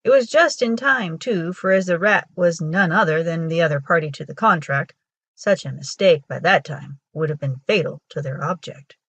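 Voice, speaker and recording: A woman with a husky voice reading a children's folktale aloud as a storyteller. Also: lowish voice, normal speed, fairly bright tone, no echo. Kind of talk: scripted storybook narration for children.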